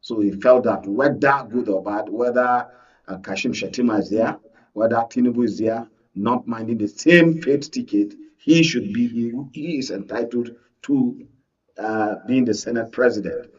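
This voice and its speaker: A Nigerian man giving unscripted political commentary as a guest analyst, explaining in a halting way. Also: mid-range voice, a little mellow, breathy edge, recorded from a distance, highs cut off.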